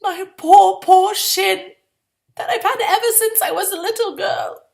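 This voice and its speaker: A woman's voice: very high voice, unhurried, gravelly, voice pushed up, exaggerated voice. A woman reading a short story in the first person as a sardonic, exasperated young woman of the 1920s, with sarcastic, melodramatic delivery. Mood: pained, indignant.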